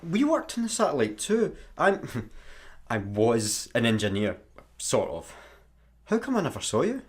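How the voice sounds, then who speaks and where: An actor with a Scottish accent playing a jumpy, sarcastic, bumbling satellite engineer in a sci-fi comedy radio play. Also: male voice, medium pitch, a little slow. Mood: musing. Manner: excitable, stammering, sarcastic.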